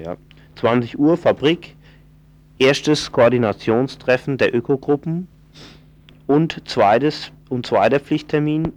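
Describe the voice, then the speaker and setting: A man on community radio reading event listings in a loose, casual way, stumbling and correcting himself as he goes. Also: mid-range voice. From southwest Germany. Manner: informal, stumbling, self-correcting. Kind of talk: casual live radio announcements.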